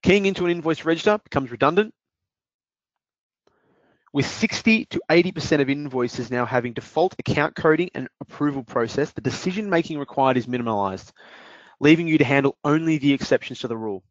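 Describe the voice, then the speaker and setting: A man presenting and walking through a software demonstration in a steady explanatory way, with occasional fillers. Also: fairly high pitch, brisk, no echo. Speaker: product presenter. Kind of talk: software demo walkthrough. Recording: dull dry lo-fi audio.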